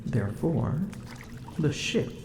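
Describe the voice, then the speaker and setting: A woman's voice: deep voice, animated, a little mellow, reverberant, slight background noise, exaggerated voice. A woman voicing a proud, smug female dragon in human form, haughty and teasing, in an ASMR roleplay. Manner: haughty, teasing, slow and deliberate.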